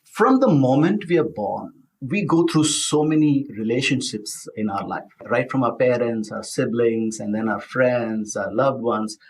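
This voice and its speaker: A man hosts a podcast interview in a voice of middling pitch. He sounds upbeat and emphatic, stretching out key phrases as he guides the talk. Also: a little slow. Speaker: podcast host.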